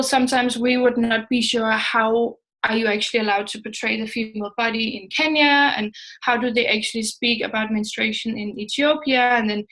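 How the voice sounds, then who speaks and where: Danish woman w danish accent, native danish, highish voice, startup founder doing an informal slide talk, bit hesitant w pauses. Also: a bit bright, poor audio. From Denmark. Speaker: startup co-founder, guest presenter.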